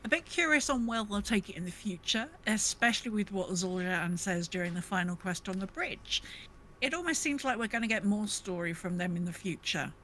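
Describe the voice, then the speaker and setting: A woman's voice: mid-range voice, animated, brisk, a bit bright, rough recording. Enthusiastic woman podcaster, faint background noise. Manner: enthusiastic, gushing. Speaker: podcast co-host. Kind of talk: casual chat, reading out listener comments.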